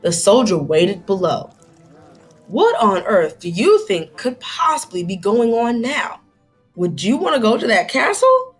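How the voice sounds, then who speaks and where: A woman's voice: mid-range voice, very animated, unhurried, slightly rough, faint music behind. A woman reading aloud to children like a teacher, dramatic and inquisitive, stopping to put questions to young listeners.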